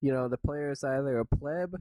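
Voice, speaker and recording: A man with a cold, his voice stuffed up and nasal, chatting on a podcast. He pauses often on "uh" and "um". The recording is dry and close. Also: medium pitch, mellow, no echo. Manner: hesitant, halting with fillers. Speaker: podcast co-host. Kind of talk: casual podcast chat.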